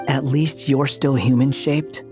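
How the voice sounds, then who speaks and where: A man's voice: medium pitch, steady tone, unhurried, lightly acted. A man playing a dry, unimpressed young man in a video game, with a sardonic, brusque delivery. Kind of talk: video game character acting. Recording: music playing behind the voice.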